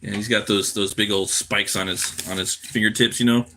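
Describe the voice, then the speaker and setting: A man's voice: on the low side, steady tone, normal speed, pushed and bright, a little hiss or hum. A tired man chatting casually on a livestream while he draws, laid-back and slangy, half muttering to himself. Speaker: cartoonist live streamer.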